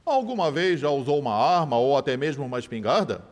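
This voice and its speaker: An actor dubbing a sharp, persistent lawyer who cross-examines a witness with measured, theatrical questions. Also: male voice, medium pitch, animated, long reverb tail, lightly acted. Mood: probing. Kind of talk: dubbed film courtroom drama.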